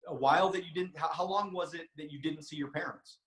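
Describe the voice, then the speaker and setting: A man's voice: fairly high pitch, fast, fairly bright tone, roomy sound. A man hosting an interview, asking casual, probing questions with stumbling starts. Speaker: host. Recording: dull, dry, a bit off-mic.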